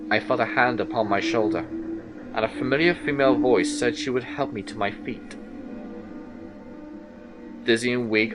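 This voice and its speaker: A man's voice: medium pitch, lightly acted. Haunted male investigator, played by an actor, first-person horror audio drama narration, suspenseful and anxious, prominent music underneath. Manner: deliberate, suspenseful storytelling in short phrases.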